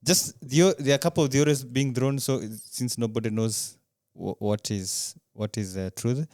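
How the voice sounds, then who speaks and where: A man co-hosts a sports podcast in a medium-pitched voice. He explains things in a casual, conversational way. The sound is dry, with hardly any room on it. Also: steady tone, moderate pace, a little mellow, no echo. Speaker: podcast co-host.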